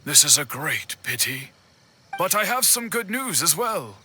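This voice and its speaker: An actor playing an earnest, expressive man in a video game, articulate and deliberate, with music behind him. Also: fairly high pitch, a little hiss or hum, full character voice. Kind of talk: scripted game dialogue.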